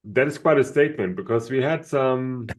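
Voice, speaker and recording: A man co-hosting a podcast in casual chat, often pausing to search for words. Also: medium pitch. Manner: searches for words, many fillers. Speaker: podcast co-host.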